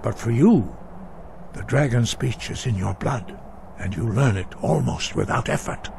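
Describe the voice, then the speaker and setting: A grave old monk of a fantasy game, instructs with formal, measured reverence. His pitch sits in a middle male range. Clear outdoor ambience runs behind the game dialogue. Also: lively intonation, noisy background. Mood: grave, instructive. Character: solemn, reverent robed elder monk mentor.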